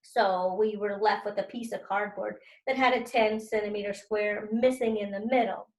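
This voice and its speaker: Expressive woman teacher reading aloud, echoey room. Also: mid-range voice, normal speed. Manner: expressive, stressing key words. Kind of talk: children's read-aloud with teaching commentary.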